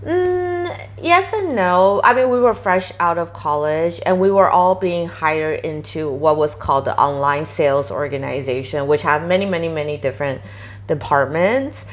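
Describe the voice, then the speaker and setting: Interview guest: woman, 30s, low voice, chatty and full of fillers. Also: expressive, moderate pace. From Taiwan. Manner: chatty, many fillers, quoting herself animatedly. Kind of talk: casual interview conversation.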